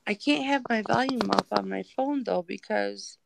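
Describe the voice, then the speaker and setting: A woman's voice: mid-range voice, normal speed, vocal fry, background noise. A woman co-hosting a casual live stream chat, easygoing while she sorts out her devices.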